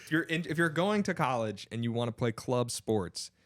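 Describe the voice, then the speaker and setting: A man's voice: medium pitch, lively intonation, moderate pace, a bit bright, no room sound, studio quality. A young man chatting casually with friends as a podcast co-host. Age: young adult. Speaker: podcast co-host and college club tennis player. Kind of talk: casual chat among friends.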